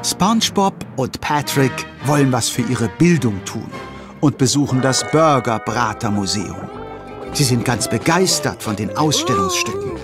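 A man tells a children's story as narrator of an audio drama. His medium-pitched voice is playful and moves up and down a lot. Music plays clearly behind him. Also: a little slow. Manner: expressive, playful.

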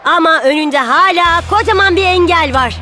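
A woman playing an excitable football commentator calling the play in a cartoon. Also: very high voice, moderate pace, soft background music. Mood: excited.

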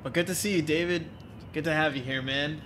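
A man's voice: on the high side, fairly even intonation, a little fast, noisy background. A young man with a stuffed-up nose chatting casually with viewers while he streams a racing game from home, reading out chat and joking along. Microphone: desk mic, webcam framing.

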